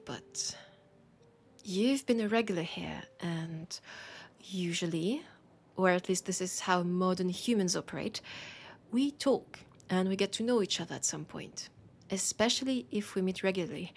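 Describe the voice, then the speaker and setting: A woman with a French accent playing a teasing, curious bookshop keeper in an intimate audio roleplay, speaking softly and musingly and often slipping toward a whisper. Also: medium pitch, slightly rough. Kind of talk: intimate audio roleplay, soft acted monologue.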